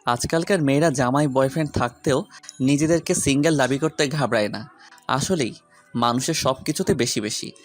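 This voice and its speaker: A man's voice: medium pitch, a little fast, soft background music, lightly acted. A man playing a stern, teasing young university teacher in an audio romance, with a grave, curt, commanding manner. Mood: mocking. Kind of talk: acted dialogue in an audio romance story.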